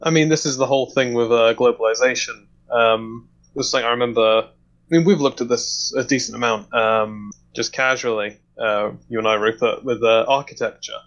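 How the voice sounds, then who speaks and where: A man explaining his thoughts in a measured way in a casual discussion, recorded over a lo-fi voice chat. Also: mid-range voice, fairly even intonation, a bit bright.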